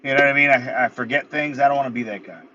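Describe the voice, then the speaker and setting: A man's voice: medium pitch, fairly even intonation, very fast, sharp tone, muffled recording, a little hiss or hum. A man in his thirties, a fighter being interviewed, laid-back and blunt, swearing casually. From United States. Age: 30s. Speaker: guest, MMA fighter. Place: in his car.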